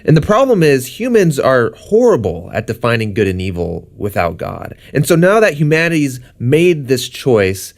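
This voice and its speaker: A man's voice: mid-range voice, sing-song, normal speed, hardly any pauses. A man co-hosting an explainer, narrating in a casual, conversational and plain-spoken way. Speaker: co-host.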